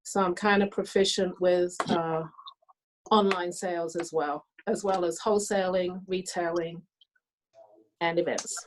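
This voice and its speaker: A woman's voice: fairly low pitch, fairly even intonation, a little slow, a little hiss or hum, rough recording. A middle-aged woman from England, a hat designer, introducing herself matter-of-factly on a video call.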